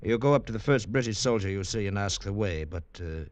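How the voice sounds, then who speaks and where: A man's voice: on the low side, expressive, brisk, no echo, natural voice. An actor playing a sly Boston man who mocks a stranger with teasing, mock-courteous talk in a 1940s radio play. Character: sly, mocking male Boston prankster posing as loyalist.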